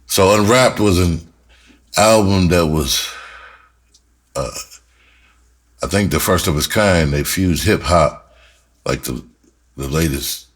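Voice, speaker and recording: A man's voice: deep voice, slow pace, long pauses. A middle-aged American man telling stories casually and in slang, in a rambling way that often repeats phrases. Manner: rambling, repeats phrases, chuckles while reminiscing. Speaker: music producer and storyteller. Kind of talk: casual storytelling monologue, slangy and profane.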